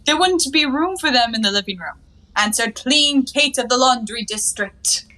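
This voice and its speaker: A woman's voice: high voice, lively intonation, normal speed, sharp tone, poor audio. A woman acting a playful, unabashed young 1920s woman in a stage comedy, with a coy, theatrical delivery. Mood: airy, teasing. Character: breezy, playful, unabashed flapper-era young woman in her bath.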